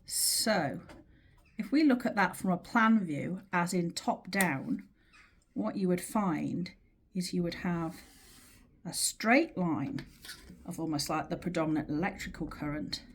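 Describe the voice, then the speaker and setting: A woman teaching in an informal, explanatory way, with measured, emphatic phrasing and pauses as she talks through a drawing. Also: fairly low pitch, slight background noise. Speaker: teacher of earth energy dowsing.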